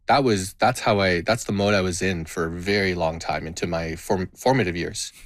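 Low-voiced man, reflective and halting. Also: no room sound. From Canada. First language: Gujarati. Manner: reflective, halting with many restarts. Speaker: guest, tabla player and educator. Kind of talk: interview conversation.

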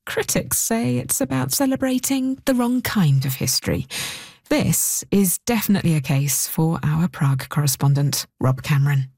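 A woman's voice: low-pitched, lively intonation, moderate pace, creaky, darkish tone, slightly rough, dry recording. A woman presenting a radio programme, reading a scripted introduction to a news report.

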